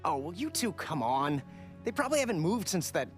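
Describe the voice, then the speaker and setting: A man's voice: on the high side, big pitch swings, brisk, faint music behind. An actor playing a cocky, eager boy adventurer in a video game, speaking casually. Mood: defensive. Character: cocky, excitable boy adventurer, young nephew.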